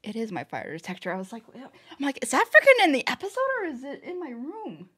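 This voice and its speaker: A woman hosting a reaction video, giving casual, expressive commentary with frequent exclamations. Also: sits high, quick pace, slightly rough. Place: own room. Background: music from the watched animation.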